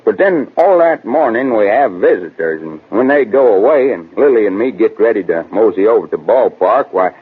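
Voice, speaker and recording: An actor playing a bashful, nervous, rustic young ballplayer with a hesitant drawl in a 1940s radio drama. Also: male voice, lowish voice, animated, moderate pace, hardly any pauses, slightly rough. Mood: folksy, puzzled. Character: folksy young baseball slugger, nervous, superstitious, bashful. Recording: tinny, narrow-band old radio recording.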